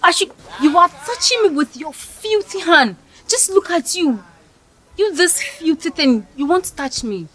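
An actress playing a rude, snobbish young woman who mocks and shouts with contempt. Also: on the high side, lively intonation, unhurried. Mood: outraged. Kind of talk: scripted skit acting.

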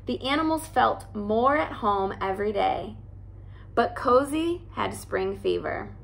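A young woman, a teacher, reading a children's picture book aloud in an animated, expressive way at home. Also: mid-range voice, unhurried, creaky. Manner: animated, expressive storytelling with character voices. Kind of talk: storybook read-aloud. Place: living room by a fireplace, indoors.

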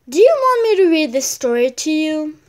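Woman reading as a gentle storybook big sister. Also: high-pitched, very animated, moderate pace, voice pushed up.

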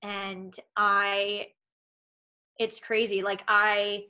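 A young woman from Massachusetts speaks with a Boston accent. Her voice is bright and forward. She rambles in easy chat from home, with a steady stream of "like" and "um". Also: mid-range voice, very slow, rough recording. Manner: rambling, heavy use of "like" and "um". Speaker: actress guest. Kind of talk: casual interview chat.

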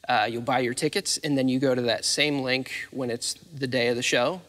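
A man in his thirties speaks as an interview guest, explaining in an informal way. His voice has an ordinary male pitch and carries the long reverb of a big theatre. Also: steady tone, fairly quick, a bit bright. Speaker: interviewee, theatre facilities manager. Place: large dark theatre stage, indoors. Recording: echoey hall reverb.

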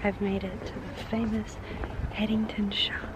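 A woman's voice: mid-range voice, level tone, normal speed. A young woman in her twenties talking straight to a handheld camera as a casual travel vlogger, chatty and upbeat. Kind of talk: casual vlog narration. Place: outdoors on city streets, some indoor rooms. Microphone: camera held at arm's length. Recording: street noise and traffic behind.

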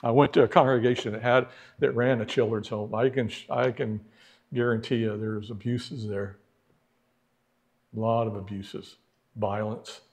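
Middle-aged male Bible teacher, mid-pitched, chatty lecture style with questions to the room, lapel mic in a church classroom. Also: breathy edge. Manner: conversational, asks the class questions, acts out quoted opponents.

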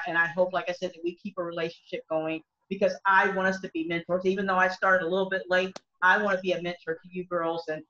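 A middle-aged woman, a country singer, talks on a video call from home. Her voice is bright and forward, and she rambles earnestly with many false starts. The laptop mic makes the sound dull and lo-fi. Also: fairly low pitch, a little hiss or hum. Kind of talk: casual video call discussion. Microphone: laptop webcam mic on a video call.

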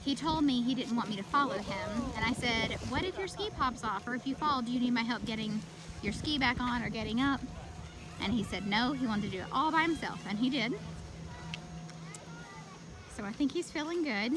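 A woman's voice: on the high side, animated, a little fast, poor audio. A woman in her thirties, a mother filming her family, chatting in a rambling way and laughing often. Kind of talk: casual narration while filming. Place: outdoor ski slope at night. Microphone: phone camera held by the speaker.

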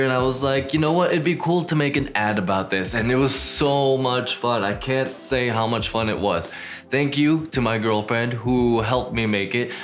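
A young man in his twenties hosting a filmmaking channel, talking casually and eagerly to camera from a small home studio. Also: medium pitch. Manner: upbeat, animated hand gestures, chatty with restarts. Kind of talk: casual talking-head vlog. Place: small home studio with neon lights, indoors.

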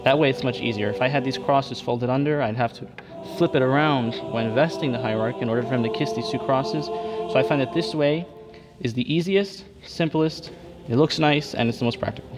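A man's voice: mid-range voice, a little fast, rough recording. Patient man explaining step by step. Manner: patient, step-by-step, repeats himself with frequent "okay?" checks. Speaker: church server demonstrating vestment setup. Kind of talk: hands-on instructional demonstration. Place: church interior at a table. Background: background music.

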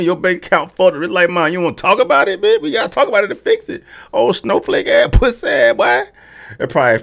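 An American man in his thirties giving casual, slangy, profane reaction commentary, emphatic and repeating himself, close on a studio mic. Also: on the high side. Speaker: reaction video host. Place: small home gaming room. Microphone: close studio mic on boom arm.

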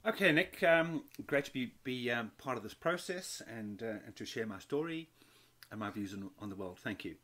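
A man's voice: mid-range voice, expressive, normal speed, fairly bright tone. A man in his fifties from South Africa, telling his story as an interview guest, with many restarts and repeated words. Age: 50s. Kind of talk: conversational interview.

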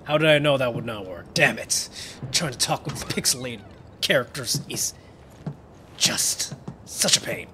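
A man's voice: mid-range voice, lively intonation, measured pace, bright, forceful tone, a little hiss or hum. A man giving jokey, profane let's play commentary, talking back to the game characters. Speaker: gamer. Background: game music.

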